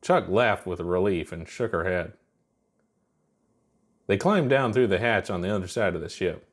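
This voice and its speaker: Narrator: male, fairly low register, scripted fiction storytelling. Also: normal speed.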